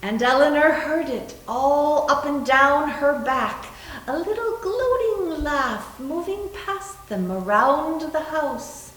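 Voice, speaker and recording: Slow, high-pitched woman narrating, echoey. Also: a bit bright, slight background noise. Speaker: narrator in readers theater. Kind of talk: dramatic scripted narration. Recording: echoey room, distant mic.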